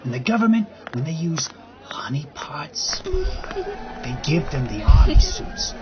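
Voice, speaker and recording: A man's voice: on the high side, sing-song, measured pace, music in the background, a crowd in the background. A man acting in an audio drama as a rambling, agitated, crude guy arguing about conspiracies. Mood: crude, ranting. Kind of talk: scripted audio drama, casual dialogue. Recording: dull recording with loud background noise.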